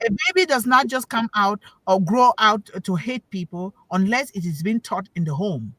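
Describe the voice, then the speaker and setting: A woman's voice: medium pitch, expressive, moderate pace, a bit bright, no room sound. A woman speaking on a talk show panel as a parent, giving an emphatic, preachy talk that repeats key words for stress and puts questions to her listeners. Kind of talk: motivational talk.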